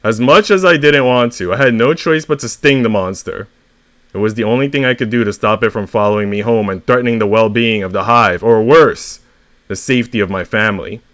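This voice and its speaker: A man's voice: fairly low pitch, a little fast, fairly bright tone, natural voice. Man voicing an indignant, melodramatic honeybee father. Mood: aggrieved, dramatic. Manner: dramatic, aggrieved.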